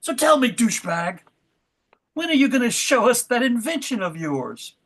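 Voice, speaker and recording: A woman's voice: on the high side, big pitch swings, normal speed, a bit bright. A woman playing a hearty, effusive aristocrat in a comedy sketch, with a jovial and grandly theatrical manner.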